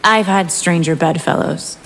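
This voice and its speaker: A woman's voice: fairly low pitch, unhurried, vocal fry. A woman playing a haughty, predatory vampire warrior in fighting game dialogue, theatrical and defiant. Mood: haughty, hungry. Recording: very reverberant, loud game noise behind.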